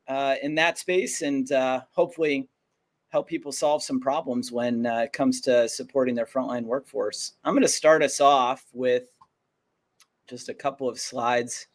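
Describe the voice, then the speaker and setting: A man hosting a live webinar with a slightly raspy voice, presenting a product in a loose conversational way with restarts. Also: mid-range voice, steady tone, normal speed.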